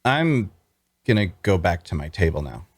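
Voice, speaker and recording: A man's voice: low-pitched, very animated, moderate pace, husky, no echo, studio quality, full character voice. An actor playing an earnest, cash-strapped traveler, telling his tale in first-person fantasy narration and dialogue. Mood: uneasy. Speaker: narrator and lead actor in a table read.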